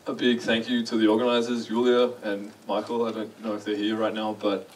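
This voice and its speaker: A man's voice: on the low side, monotone, brisk, recorded from a distance, low-quality recording. A young man with an Australian accent, giving a chatty, self-deprecating conference talk and asking the audience questions. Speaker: conference speaker. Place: large dark lecture hall.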